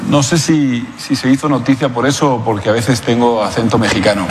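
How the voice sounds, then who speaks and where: A man answering questions in an interview clip, hesitant and halting, with many fillers. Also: mid-range voice, fairly even intonation, a crowd in the background. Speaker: interviewed guest, possible club presidential candidate. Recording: roomy clip with background ambience.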